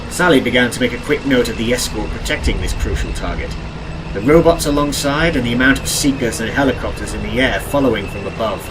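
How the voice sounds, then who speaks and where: A man reading scripted audiobook narration of an adventure story in a deliberate, dramatic, descriptive way. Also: mid-range voice, background noise. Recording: music behind the narration. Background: game and cartoon soundtrack music.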